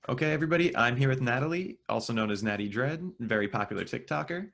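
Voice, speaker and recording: Halting friendly male interviewer. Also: medium pitch, expressive, gravelly, a bit bright. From United States. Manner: friendly, halting questions with restarts. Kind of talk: casual interview.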